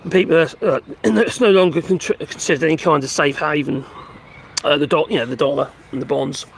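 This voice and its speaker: Gravelly man, losing his voice. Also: on the high side, a little hiss or hum. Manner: rambling, halting, many fillers and restarts; talks to his pets between remarks. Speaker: livestream host reading and commenting on news. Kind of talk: casual live commentary on news. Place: at home with animals nearby.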